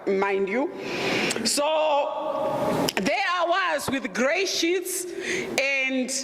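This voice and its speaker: A middle-aged Zimbabwean woman whose voice she calls deep tells her story slowly, candid and emphatic, with flashes of humor. She speaks in a lecture room where the sound rings with a long reverb. A faint hum of ambience sits behind her. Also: expressive. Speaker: guest presenter. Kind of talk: personal storytelling talk.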